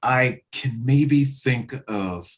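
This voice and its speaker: A man answering as an interview guest, speaking conversationally in reflective, measured phrases. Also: medium pitch. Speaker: interview guest, play director.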